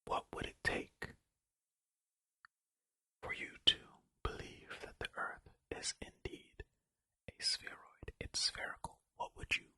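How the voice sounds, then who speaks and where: Hushed, bright whispering ASMR host. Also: slow pace, long pauses, no room sound. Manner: whispering throughout; rambling, self-deprecating, jokey tangents. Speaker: host of a video channel. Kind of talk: whispered ASMR reading of facts with casual asides.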